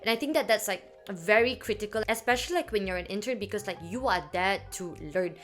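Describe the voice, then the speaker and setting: A woman's voice: mid-range voice, fast, a bit bright, faint music behind. A young woman talking casually to camera about her work experience, filling her speech with "like" and "um". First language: not French. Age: young adult. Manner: chatty, many "like" fillers. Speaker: vlogger.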